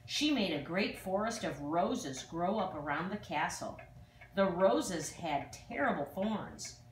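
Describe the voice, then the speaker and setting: An older woman reads a children's fairy tale aloud, with expressive, dramatic emphasis on exclamations. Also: on the low side, slow. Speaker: storyteller. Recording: distant, echoey room with faint noise.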